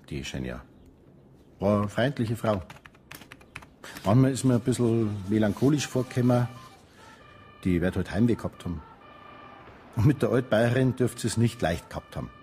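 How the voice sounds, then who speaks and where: An actor as a small-town Bavarian policeman with a low, dark, relaxed voice. He talks unhurriedly and a little hesitantly about the locals in a naturalistic radio drama. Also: moderate pace, long pauses, slight background noise, lightly acted. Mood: reflective. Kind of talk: naturalistic German radio crime drama acting.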